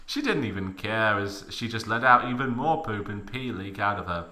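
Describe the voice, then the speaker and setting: A man's voice: lowish voice, animated, normal speed, bright, forceful tone. A man giving a mock dramatic reading of fan fiction, with theatrical, exaggerated emphasis.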